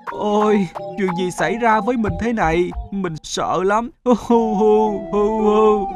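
A man voicing a proud, touchy young cloud in a cartoon fable, with a childlike, expressive delivery. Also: high-pitched, slow, over music. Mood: tearful, worried.

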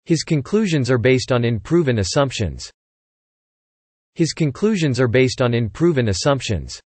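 Man reading English vocabulary, slightly husky. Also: medium pitch, animated, darkish tone, dry recording. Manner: clear deliberate reading, each phrase said twice. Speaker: English word reader. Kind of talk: slow repeated vocabulary reading.

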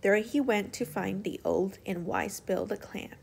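A woman's voice: medium pitch, measured pace, vocal fry, a little hiss or hum. A woman storyteller reading a children's picture book aloud as scripted narration.

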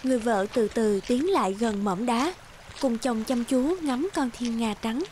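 A woman's voice: fairly high pitch, a little slow, mellow, slight background noise. A woman narrator reading a scripted fairy tale for a cartoon. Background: background music.

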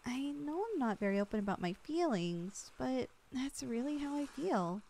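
A young woman performing an ASMR roleplay as a loving girlfriend, talking softly with an affectionate, teasing manner. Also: on the high side, a little hiss or hum.

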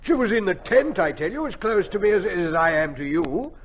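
A man's voice: sits high, big pitch swings, fairly quick. An actor playing a blustering, fatherly army colonel in a 1950s radio drama, with a stammering manner. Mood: agitated, baffled. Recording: muffled, noisy 1950s radio recording.

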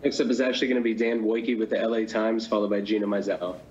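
A man moderating a press conference, calling on reporters one after another in a brisk, businesslike way. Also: on the low side, level tone, muffled recording, heavy background noise.

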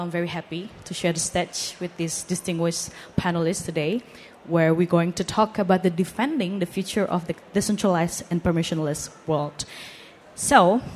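A woman moderates a panel on an expo hall stage, speaking into a handheld mic. Her voice sits fairly low for a woman, friendly and a touch hesitant. Faint hall ambience runs underneath. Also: a little mellow, a little hiss or hum.

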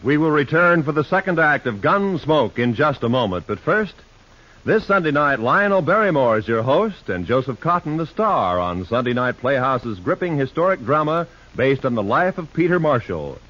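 Animated 1950s American radio announcer, male. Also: mid-range voice, normal speed. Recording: muffled old radio broadcast recording.

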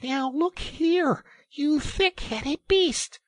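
A brisk, eager rat from a storybook, put on by a narrator. The voice jumps very high and swoops dramatically, urgent and exclamatory, with a slightly husky edge. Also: huge pitch swings, no room sound, full character voice. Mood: exasperated. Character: excitable rat, brisk, kindly but easily exasperated. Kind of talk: animated storybook character reading.

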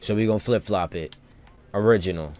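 A man streaming a video game with casual live commentary, rambling and thinking out loud in loose slang. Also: lowish voice, fairly quick.

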